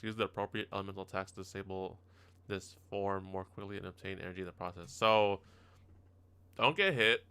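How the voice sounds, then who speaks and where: A man's voice: sits low, sharp tone, clean tone. A man hosting a gaming video with casual, slangy commentary, reacting aloud to the game. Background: game soundtrack.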